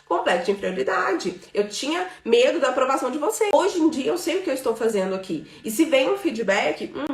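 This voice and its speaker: A woman's voice: a little high, far from the mic. A Brazilian woman in her thirties giving a live class as a financial mentor, talkative, filling her talk with "né?" and "tá bom?". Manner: talkative, filler-heavy, checks in with "tá bom?" and "né?". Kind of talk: informal live lesson. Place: room with a live audience present. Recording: roomy, from off the mic.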